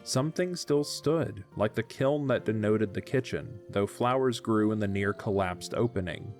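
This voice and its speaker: A man's voice: on the low side, a little slow, darkish tone, soft background music. A man narrating a scripted story as the narrator of an audio drama.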